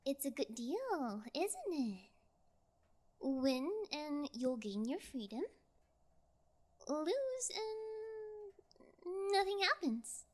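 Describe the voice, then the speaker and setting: A woman's voice: high voice, big pitch swings, drawn-out words, pauses often, exaggerated voice. A woman voicing a bored, playfully sociopathic young female kidnapper in an ASMR role play, with a lazy, teasing, falsely sweet manner. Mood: smug, falsely generous.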